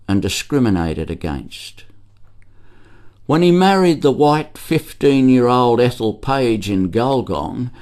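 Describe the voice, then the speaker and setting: A man's voice: medium pitch, a little mellow. A man with an Australian accent reading a scripted documentary narration.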